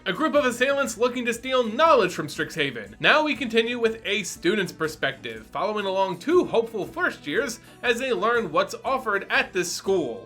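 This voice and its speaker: A man hosting and narrating a fantasy story in a theatrical, expressive scripted reading. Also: high voice. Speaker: host and storyteller. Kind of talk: dramatic scripted narration with channel intro. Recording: music under the voice. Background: background music.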